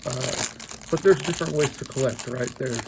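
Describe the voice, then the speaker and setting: A man's voice: mid-range voice, normal speed, background noise. A congested, stuffy-sounding man opening card packs and chatting casually, musing aloud with lots of fillers. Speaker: card collecting channel host. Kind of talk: casual pack-opening commentary.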